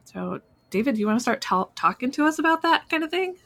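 A woman's voice: sits high, sing-song, fast talker, a bit bright. A woman hosting, giving announcements and introductions in an informal conversational style. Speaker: podcast host.

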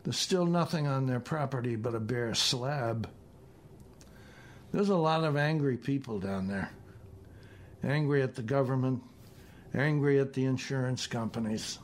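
Guy reading as an older retired colonel type in a story, soft spoken, weary, measured. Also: mid-range voice, close to an everyday voice. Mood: resigned. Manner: quiet-spoken.

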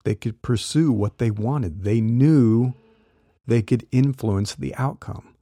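A man who works as a career coach, talking through ideas in a relaxed, explanatory way with small laughs, his voice a little worn as he says he is losing it. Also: medium pitch, takes it slowly, no room sound, studio quality. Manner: conversational, explanatory, light laughs. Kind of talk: solo podcast talk.

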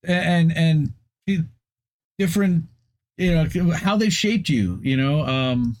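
A man hosting a podcast interview, asking questions haltingly and stumbling over his words. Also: fairly high pitch, a little mellow. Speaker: podcast host.

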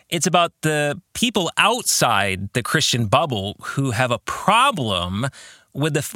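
A man hosting a podcast, giving reflective book club commentary in a deliberate way and putting rhetorical questions to the listener. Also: on the high side, sharp tone, dry recording, clean studio sound. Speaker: podcast host and author.

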